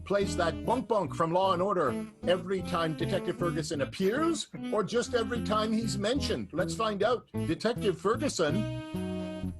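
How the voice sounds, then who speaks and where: A man's voice: high-pitched, expressive, normal speed, loud music behind the voice. A man hosting and narrating an improvised radio play with a wry, theatrical announcer delivery. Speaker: narrator and host.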